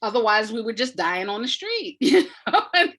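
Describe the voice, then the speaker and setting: A woman's voice: a little high, very animated, normal speed, sharp tone. A woman from the United States speaking as an interview guest, explaining things conversationally and thoughtfully, often searching for the right word and stressing key points. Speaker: interview guest, audio drama writer.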